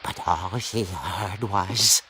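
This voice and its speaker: A man's voice: sits low, slow pace, background noise. A man narrating a spooky comic-book tale in a theatrical, gleeful horror-host manner. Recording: loud music score behind.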